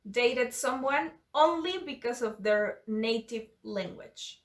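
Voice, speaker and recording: A woman's voice: on the high side, lively intonation, takes it slowly. A woman whose first language is Spanish, hosting a casual drinking-game chat and laughing often between lines. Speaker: YouTube channel host. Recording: echoey room.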